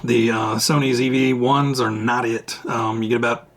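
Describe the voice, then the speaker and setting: A man hosting a hobby vlog, answering viewer questions in a rambling, chatty way, his voice raspy and tired after hours of recording at home. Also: medium pitch, fairly even intonation, moderate pace, slight background noise. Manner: rambling, chatty, self-interrupting. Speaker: RC hobby channel host. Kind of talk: casual Q&A vlog talk. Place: at home, indoors. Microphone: camera mic.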